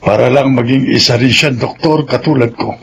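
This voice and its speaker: An actor playing a regretful, grave old grandfather with a gravelly voice in a Filipino radio drama. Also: medium pitch, steady tone, normal speed, a little hiss or hum, close to an everyday voice. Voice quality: gravelly, creaky. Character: regretful old grandfather, a retired-generation doctor.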